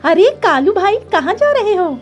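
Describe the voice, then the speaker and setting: A woman's voice: extremely high pitch, very animated, moderate pace, faint music behind, voice pushed up. A woman voicing a little female bird farmer in a children's cartoon, cheerful, earnest and self-assured. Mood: curious.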